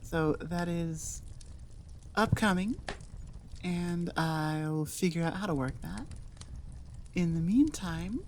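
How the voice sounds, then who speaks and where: A woman's voice: lowish voice, a little hiss or hum. A woman audio roleplay creator chatting casually to listeners in a playful, self-deprecating, rambling way.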